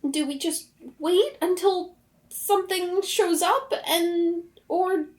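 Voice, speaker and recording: A woman's voice: extremely high pitch, steady tone, slow pace. A woman playing an anxious, frightened young girl in a tabletop game, pleading and stammering out questions. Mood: pleading, scared. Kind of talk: tabletop roleplay.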